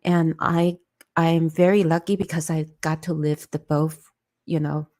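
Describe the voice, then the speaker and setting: A woman's voice: deep voice, little pitch movement, moderate pace, a little mellow. A woman from China, speaking English as a second language, an interview guest telling her story in an earnest way. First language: Chinese. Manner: earnest, storytelling, non-native grammar. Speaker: interview guest, former candidate. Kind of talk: conversational interview.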